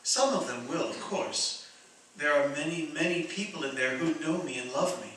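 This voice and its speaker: Woman as a gentle, kindly spirit, very echoey. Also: low voice, animated, normal speed. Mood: resigned, hopeful. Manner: gentle, theatrical, patient explaining. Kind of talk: old-time radio drama acting. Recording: very echoey hall, distant mic, faint noise.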